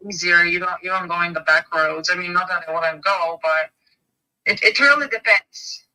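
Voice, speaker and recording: A woman's voice: lowish voice, normal speed, pushed and bright. A woman with a Bulgarian accent, a real estate agent talking business on a phone call in a friendly way.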